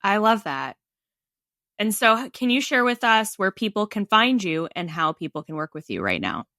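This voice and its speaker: A woman's voice: medium pitch, very animated, moderate pace, sharp tone, no echo, studio quality. A woman hosting a spiritual podcast, enthusiastic and affirming toward her guest. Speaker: podcast host. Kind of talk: casual podcast interview.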